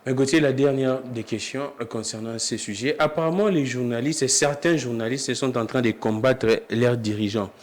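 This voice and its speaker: A man hosts a conversational political interview in a medium-pitched voice. He interjects often, echoing points and putting questions to his guest. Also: normal speed, few pauses. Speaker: debate host, journalist.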